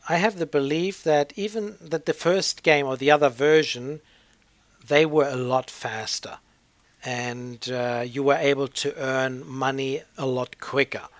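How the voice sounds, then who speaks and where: A man's voice: mid-range voice, fairly even intonation, unhurried, dry recording. A man giving casual gameplay commentary as the host of a gaming channel, hesitant and rambling as he thinks aloud.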